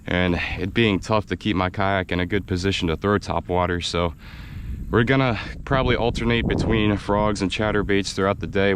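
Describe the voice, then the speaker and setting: A man with a low, slightly raspy voice talks casually from a kayak, excited and chatty. He has an American accent. Faint wind and water noise sit under him. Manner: excited, chatty, laughs often. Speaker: fishing video host. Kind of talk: casual on-the-water vlog narration. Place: outdoors on a kayak in a windy swamp.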